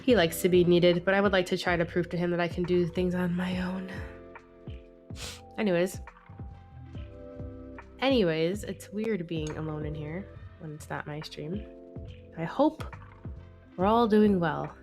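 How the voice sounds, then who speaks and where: A woman's voice: lowish voice, measured pace, pauses often. A woman streamer talks casually while she draws, laughing often and cursing without a second thought. She is a touch sniffly from a head cold. Faint music plays behind her. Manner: chatty, laughing, swears freely. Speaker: live stream host. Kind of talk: casual chat while drawing.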